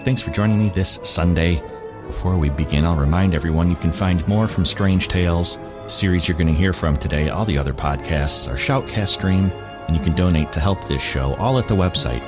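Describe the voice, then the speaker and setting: Very deep-voiced podcast host. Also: male voice, normal speed. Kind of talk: scripted podcast introduction and sign-off. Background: music under the voice.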